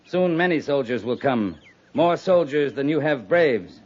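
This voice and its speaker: Earnest, reasoning army captain for a 1950s radio western: unhurried, medium-pitched, firm, dull vintage sound. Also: male voice, lively intonation. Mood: firm, measured. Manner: measured, deliberate, earnest. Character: steady, reasoning US cavalry captain negotiating for peace. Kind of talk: 1950s radio drama acting.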